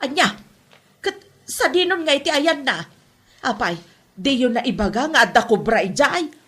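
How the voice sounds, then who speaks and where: A woman's voice: high voice, big pitch swings, moderate pace, a bit bright, husky, exaggerated voice. A woman playing an exasperated, worried village mother of a grown son, with an Ilocano Filipino accent, in a comedy audio drama. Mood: alarmed scolding.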